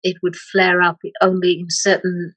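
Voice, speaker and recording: A middle-aged woman from Malaysia, a meditation teacher speaking as an interview guest, slow and hesitant with many pauses and restarts. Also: on the low side, completely flat delivery, a bit bright, no room sound. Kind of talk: reflective interview talk.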